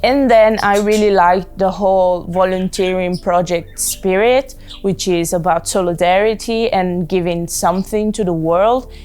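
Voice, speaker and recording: Italian woman in her twenties, slightly low voice, calm testimonial with faint music. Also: unhurried, hardly any pauses, clear, resonant tone. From southern Italy. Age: 20s. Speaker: volunteer. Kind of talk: interview testimonial.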